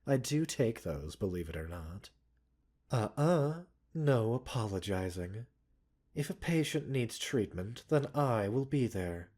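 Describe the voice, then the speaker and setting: A man performs as a gentle, self-assured doctor tending a patient in an ASMR roleplay. The voice sits low and breathy, with lively rises and dips. He is polite and soothing, lightly scolding and teasing as he goes. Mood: reassuring, wry. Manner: soothing, unhurried, politely formal with teasing asides. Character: gentle, composed male pharmacist doctor, mildly chiding and self-assured. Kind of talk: ASMR roleplay audio drama.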